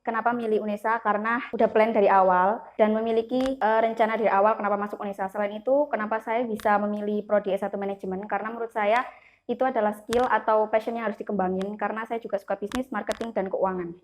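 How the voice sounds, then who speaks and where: A woman's voice: mid-range voice, fairly even intonation, quick pace. A young woman, a management student, explaining her choice in a composed, polite way. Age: young adult. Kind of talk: formal self-presentation.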